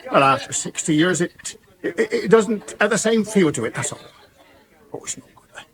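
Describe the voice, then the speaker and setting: A man's voice: high-pitched, expressive. Elderly countryman, West Country accent, lightish voice, hesitant and plain-spoken, 1980s radio soap. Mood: awkward, troubled. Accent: English West Country rural. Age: older. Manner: hesitant, halting. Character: awkward, plain-spoken old countryman, pub regular.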